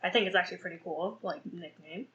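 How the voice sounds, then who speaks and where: A woman's voice: fairly low pitch, fast talker, bright, forceful tone, muffled recording, slight background noise. A young woman hosting a solo podcast and reviewing a film, rambling with many fillers and restarts and laughing at her own slips. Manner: laughs at herself. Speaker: podcast host.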